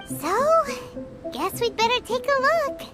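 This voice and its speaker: An actress voicing a chirpy, excitable tiny fairy companion who talks about herself in the third person, in a video game. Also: very high voice, takes it slowly, heavily acted. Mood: pouty chatty. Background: game music.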